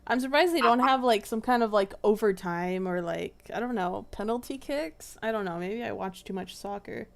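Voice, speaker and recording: A young woman excitedly calling the play while chatting with friends over a game. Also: mid-range voice, normal speed, vocal fry, few pauses. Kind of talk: casual gaming chat.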